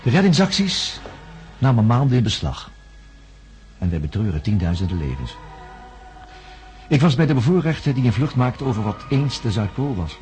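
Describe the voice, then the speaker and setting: An actor playing a reflective man who studies the human mind, narrating a radio play in a solemn, contemplative way with dramatic pauses. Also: mid-range voice, very animated, a little mellow, faint music behind, lightly acted. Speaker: narrator recounting events.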